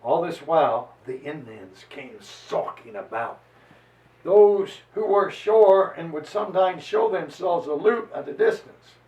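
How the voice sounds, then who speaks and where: A man giving an emphatic, declamatory dramatized reading of a historical chronicle, stretching out phrases deliberately. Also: a little high, expressive, a little mellow. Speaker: reader and lecturer on history. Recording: roomy echo, voice distant from microphone.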